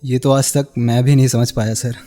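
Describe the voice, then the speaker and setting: A man's voice: mid-range voice, level tone, moderate pace, dark tone, faint music behind, close to an everyday voice. An actor as a reserved, principled man. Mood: modest, respectful. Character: reserved, principled male interviewer, quietly wounded former lover. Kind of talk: radio drama acting.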